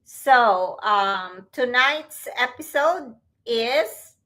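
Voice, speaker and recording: Bright-voiced woman from the Philippines, unhurried. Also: a little high, animated, poor audio. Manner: chatty, searches for words. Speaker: co-host. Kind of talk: casual live-stream talk.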